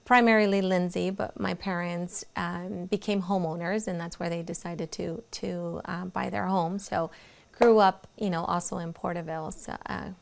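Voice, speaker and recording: A woman in her thirties from Mexico, a native Spanish speaker with a Mexican accent, talking haltingly as a guest in an interview and often murmuring agreement. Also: fairly low pitch, steady tone, gravelly. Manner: halting, with frequent "mm-hmm" backchannels. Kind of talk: interview conversation.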